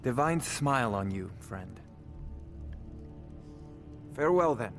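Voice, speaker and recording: Fantasy game companion: polite hired fighter, loyal manner, medium pitch, score playing behind. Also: male voice, animated, slow, vocal fry, fairly bright tone, exaggerated voice. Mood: cordial farewell. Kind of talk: fantasy video game dialogue. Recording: music behind the voice.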